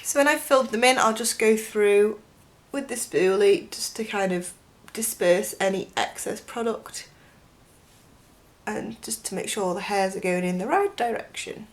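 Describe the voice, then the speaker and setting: A young woman with a croaky voice from a chest infection, chatting through a makeup tutorial in a gushing way, full of "like" and "um", close to the camera in a bedroom. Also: mid-range voice, a little slow. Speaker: beauty vlogger. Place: bedroom with a dressing table, indoors.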